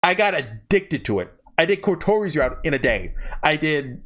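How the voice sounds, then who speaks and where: High-voiced man, casual and rambling. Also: sing-song, normal speed, fairly bright tone. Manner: rambling, hesitant, often backtracking mid-sentence. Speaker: host ranking visual novels. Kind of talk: casual off-the-cuff ranking commentary. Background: background music.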